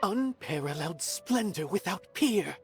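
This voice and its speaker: An actor playing an aloof, condescending observer in a theater who muses slowly about art. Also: male voice, high-pitched, lively intonation, over music. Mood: lofty. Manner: slow, musing, haughty. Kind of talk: game voice acting.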